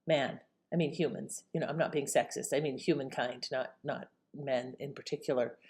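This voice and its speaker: A woman's voice: extremely low pitch, fairly even intonation, normal speed, a little mellow. A woman host giving casual, reflective opinion commentary in a conversational way.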